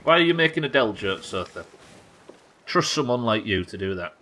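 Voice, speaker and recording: A man's voice: mid-range voice, very animated, normal speed, bright, forceful tone, a little hiss or hum. An English man doing casual live game commentary, sweary and jokey, bantering and reading out chat at his desk. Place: indoors at a desk.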